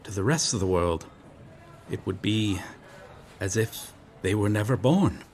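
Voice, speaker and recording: A man's voice: fairly low pitch, animated, a little slow, darkish tone, slight background noise. A man playing a noble, earnest medieval knight lost in modern times in an audio roleplay, speaking in formal, archaic, solemn phrases. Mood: grave, recounting. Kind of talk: ASMR audio roleplay, dramatic monologue.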